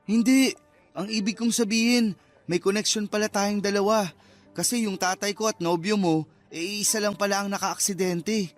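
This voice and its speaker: A man's voice: sits high, fairly even intonation, normal speed, lightly acted. A man acting an earnest, awkward, apologetic young man in a Filipino radio drama. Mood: awkward, sheepish. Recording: music bed behind the voice.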